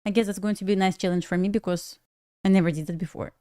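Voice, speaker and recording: A young woman from Belarus with a Russian accent, a native Russian speaker, hosting a casual trading livestream and chatting to viewers with frequent laughs and halting restarts. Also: medium pitch, fairly even intonation, mellow.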